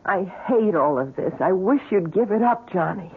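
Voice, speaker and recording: A woman's voice: mid-range voice, lively intonation, normal speed, husky. A woman playing a troubled, disapproving assistant in an old radio drama, earnest and exasperated. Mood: distressed, pleading. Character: troubled, disapproving woman working with a scheming man. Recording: muffled, hissy old radio broadcast.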